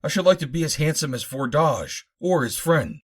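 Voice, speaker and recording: A man's voice: on the high side, animated, normal speed, slightly rough, voice pushed up. A man voicing the living severed head of an artificial man, eager and loyal, calling out in exclamations. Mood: hopeful.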